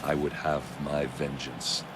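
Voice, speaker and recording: Casting: vengeful Roman gladiator, game narration, extremely low and flat, noisy background. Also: male voice, unhurried. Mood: grim resolve. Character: grim vengeful Roman soldier turned gladiator, narrating his tale. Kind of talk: dramatic game cutscene narration.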